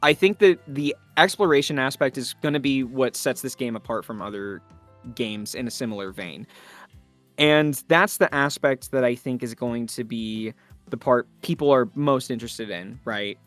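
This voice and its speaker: A man's voice: medium pitch, normal speed, a bit bright, no echo, faint music behind. A man talking enthusiastically in an interview as a voice actor, effusive and emphatic.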